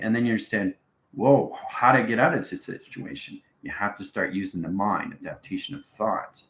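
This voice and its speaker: Male live spiritual host, low register, rambling teacherly delivery with repeated check-ins, noticeably echoey sound. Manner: instructional, frequent check-in tags like okay and right. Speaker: host answering live viewers. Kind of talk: live-stream spiritual talk, rambling explanation.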